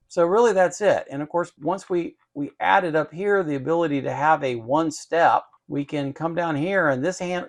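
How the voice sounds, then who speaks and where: A man's voice: on the high side, vocal fry. A man giving an informal technical code walkthrough, methodical and repeating phrases for emphasis. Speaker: electronics channel host and programmer.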